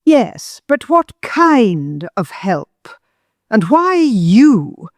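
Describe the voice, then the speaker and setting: A woman acting a haughty older mother-in-law, high-pitched. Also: very animated, extremely slow, no echo, studio quality, heavily acted. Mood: disdainful, insinuating. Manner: pompous, insinuating, righteous. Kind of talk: audio drama acting.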